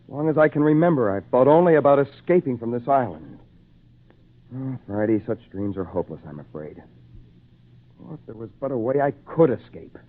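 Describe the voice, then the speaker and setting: A man's voice: mid-range voice, animated, lightly acted. Guy doing a lonely fatherly english castaway, very up and down delivery, theatrical, tinny old radio drama recording. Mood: wistful, kindly. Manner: theatrical, deliberate, patient phrasing. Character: lonely English castaway man, kindly, paternal, wistful.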